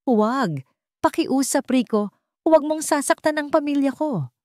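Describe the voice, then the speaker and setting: A woman's voice: high voice, lively intonation, moderate pace, a little mellow, dry recording, studio quality, pitched above the performer's own voice. A woman with a Filipino accent voicing a frightened, pleading young woman in a story. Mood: desperate pleading. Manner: trembling, pleading.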